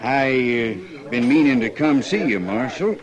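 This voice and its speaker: Actor as sullen western gambler, dull recording. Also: male voice, mid-range voice, expressive, a little slow, a crowd in the background, close to an everyday voice. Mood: guarded. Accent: American. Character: sullen, slippery frontier gambler with a grudge. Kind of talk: old-time radio western acting. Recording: dull vintage radio, crowded saloon behind.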